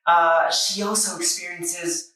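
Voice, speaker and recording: Female reviewer, bright and wry, recorded in a very echoey room a bit away from the mic. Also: on the low side, little pitch movement, slow. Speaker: comic book reviewer, video host. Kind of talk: semi-scripted review narration. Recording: echoey room, distant microphone.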